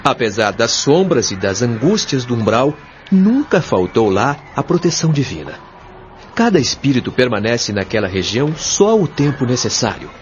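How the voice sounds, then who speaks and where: A man's voice: medium pitch, sing-song, moderate pace, slight background noise, lightly acted. A Brazilian man playing a patient, authoritative spirit guide in an audio drama, explaining things in a solemn, articulate, didactic way. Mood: calm, authoritative. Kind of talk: audio drama acting, expository dialogue. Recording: dull lo-fi audio over music.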